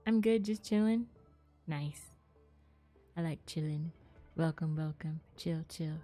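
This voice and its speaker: Laid-back woman streamer with a mellow voice. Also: sits low, takes it slowly, faint music behind. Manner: playful, giggly, self-deprecating. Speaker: video game livestreamer. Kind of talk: casual livestream chat while gaming.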